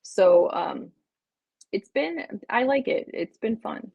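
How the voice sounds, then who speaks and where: A woman's voice: fairly high pitch, lively intonation, slow pace, gravelly, a little mellow, husky, dry recording. A woman in her thirties, a podcast guest, telling her life story in a casual, chatty way, full of fillers and likes. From United States and Palestine.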